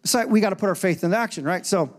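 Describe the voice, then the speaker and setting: A man in his forties preaching a sermon on a church stage into a handheld microphone, swinging between loud emphatic lines and quiet conversational asides. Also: high-pitched, fast talker. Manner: preaching with rising and falling intensity, conversational asides. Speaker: pastor.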